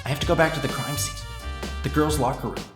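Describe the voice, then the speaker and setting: A man's voice: on the high side, fast talker, roomy sound, over music, natural voice. A man voicing an earnest, anxious but determined teenage schoolboy detective in a game dub, with an inner-monologue delivery. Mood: reflective, determined. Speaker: voice actor in a fan dub. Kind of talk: game dub narration and dialogue.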